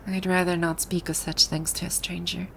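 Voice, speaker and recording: A woman's voice: fairly low pitch, level tone, moderate pace, gravelly, slight background noise. A woman playing a haughty, easily flustered female final-boss villain from a video game in an ASMR roleplay, with an imperious, indignant manner. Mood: guarded, wistful. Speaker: voice actor.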